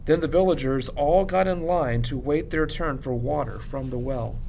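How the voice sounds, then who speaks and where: Man reading a story over rumbling noise. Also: normal speed, dark tone, rough recording. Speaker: teacher reading a book aloud to students. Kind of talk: audiobook-style narration of a children's novel. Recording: clear background rumble noise.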